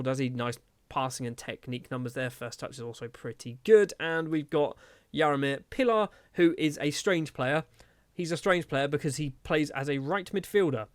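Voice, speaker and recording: A man's voice: mid-range voice, brisk, no room sound. A man giving casual video game commentary as a host, chatty and rambling, often questioning himself as he goes.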